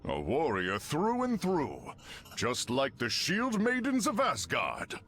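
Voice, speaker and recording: An actor playing a big, pompous thunder god with stately, archaic speech in a video game. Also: male voice, on the high side, wildly swooping pitch, slow pace, a bit bright, slight background noise, full character voice. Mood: impressed, grand. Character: big, booming, grandiose Norse thunder god.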